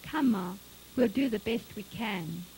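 A woman's voice: mid-range voice, animated, a little slow, darkish tone, a little hiss or hum, natural voice, rough recording. A woman voicing an earnest, practical grown son in a story reading, urgent and cautious. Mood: gently urging.

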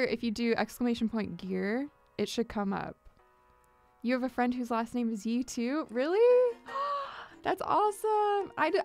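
A young woman chatting casually to viewers on her live music stream, talking close to a studio microphone in a small home studio. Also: on the high side. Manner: chatty, upbeat, squeals greetings at viewers. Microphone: large studio mic on boom, headphones.

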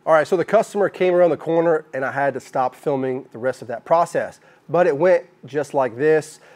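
A man's voice: a little high, brisk, a little mellow, no room sound. An American man in his thirties who works as an HVAC technician, presenting casually to camera. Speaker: HVAC technician and video host.

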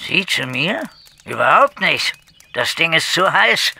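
A raspy, sharp-edged male voice with plenty of gravel, fairly high for a man. a tired, gruff investigator in a crime audio drama, blunt yet evasive. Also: big pitch swings, a little slow, slight background noise, exaggerated voice. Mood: weary, evasive. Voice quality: gravelly, husky. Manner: blunt, hesitant, throat-clearing.

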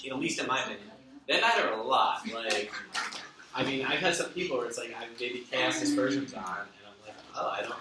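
Heard from the back of a lecture hall, a young man runs a class discussion in a relaxed, affirming way. He sounds distant, with room echo and steady background noise. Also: medium pitch. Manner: relaxed, affirming, filler-heavy. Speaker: student presenter leading class discussion. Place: large lecture hall, recorded from the audience. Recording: echoey hall, far from mic, noisy.

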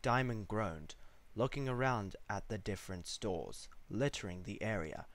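A man's voice: lowish voice, lively intonation, measured pace, dry recording. A man reading a piece of fiction aloud as a scripted story narration. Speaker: fan fiction reader.

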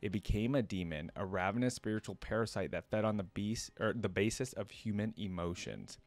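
A man's voice: lowish voice, animated, brisk. A young man hosting a reaction video in a casual, conversational way, commenting and reading aloud as he thinks things through. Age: young adult. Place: indoor home streaming setup.

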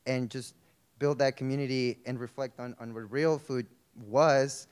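A man's voice: mid-range voice, fairly even intonation, measured pace, a little mellow, clean tone. A man in his twenties answering a question on a conference stage, holding a microphone. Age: 20s. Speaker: service corps member on panel. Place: conference hall stage, indoors. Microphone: handheld microphone.

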